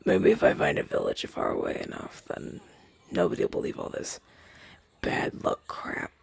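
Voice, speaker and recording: A man's voice: moderate pace, dry recording, whispered, natural voice. A young man playing a chatty, down-on-his-luck crow demon, once a witch's familiar, in a hesitant, stammering roleplay audio drama. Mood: dejected.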